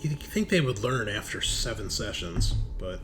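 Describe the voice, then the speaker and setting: A man running a tabletop roleplaying game as game master, narrating in a halting, descriptive way over an online call. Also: on the low side, sing-song, music in the background. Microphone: online group call. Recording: clear background noise.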